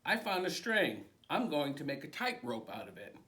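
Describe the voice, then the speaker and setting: A man voicing Little Chicken Chicken, a plucky and playful young hen, showy and excited in a read-aloud story. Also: a little high, normal speed, voice pushed up.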